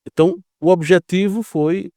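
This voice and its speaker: A man's voice: sits high, normal speed, mellow, no room sound, studio quality. A Brazilian man giving informal explanatory commentary in Brazilian Portuguese, conversational, often posing rhetorical questions and checking in with short tags. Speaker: geopolitics commentator.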